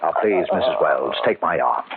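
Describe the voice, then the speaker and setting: An actor playing a doubtful doctor, formal, politely firm and reassuring, in old-time radio drama style. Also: male voice, deep voice, sing-song, moderate pace, creaky, heavily acted. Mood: gently firm. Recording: noisy, muffled old radio recording.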